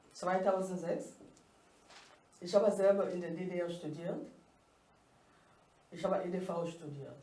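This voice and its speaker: Woman guest speaker, halting delivery, echoey room, distant mic, light background hiss. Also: fairly low pitch, mellow. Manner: halting, mixes German and French. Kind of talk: halting personal presentation. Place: room at a festival event. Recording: roomy, from a distance, faint background noise.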